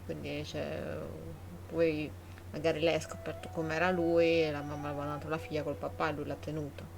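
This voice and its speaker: A woman's voice: low voice, level tone, quick pace, vocal fry, background noise. An Italian woman giving casual live commentary on a game, informal and thinking aloud, often repeating her words. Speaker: game streamer. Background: game music.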